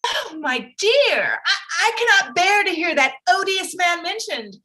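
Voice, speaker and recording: A woman playing a fretful, excitable middle-aged wife and mother in a period drama reading, fluttery, exclamatory and complaining. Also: very high voice, measured pace, heavily acted. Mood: indignant.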